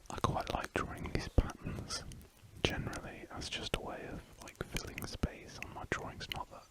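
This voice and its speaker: A man in his twenties whispering a soft, rambling commentary while he draws, as an ASMR host. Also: normal speed, low-quality recording. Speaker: art channel host.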